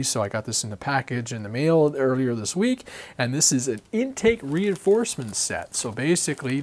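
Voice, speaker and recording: A man's voice: mid-range voice, a little mellow, a little hiss or hum. A middle-aged man hosting a casual hobby update vlog from his workbench, rambling with many fillers while getting over a cold. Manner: rambling, lots of fillers and self-corrections. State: recovering from a cold, voice croaky at times. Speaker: RC hobby vlogger.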